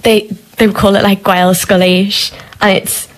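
Young woman, flat voice. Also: medium pitch, unhurried, rough recording. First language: Irish. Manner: thoughtful, with many "like" fillers. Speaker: actress. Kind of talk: casual interview chat.

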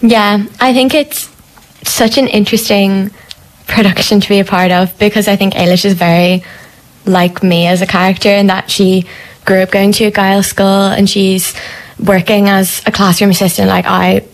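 Young woman, flat voice. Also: medium pitch, moderate pace, poor audio. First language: Irish. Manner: thoughtful, with many "like" fillers. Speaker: actress. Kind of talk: casual interview chat.

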